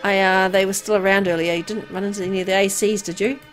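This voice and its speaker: A woman's voice: medium pitch, flat delivery, fast talker, faint music behind, lightly acted. A woman voicing a timid, poor young boy who is hesitant, apologetic and naively eager to please, for a game. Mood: relieved, nervous.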